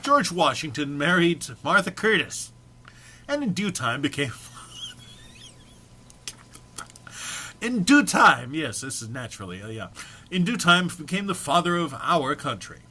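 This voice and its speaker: Guy doing a dramatic reading of something funny, really animated and bright, kinda hammy amateur. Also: fairly high pitch, takes it slowly, pauses often, slight background noise. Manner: theatrical, often breaking into amusement and stumbling. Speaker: amateur reader. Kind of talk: dramatic reading of a humorous text.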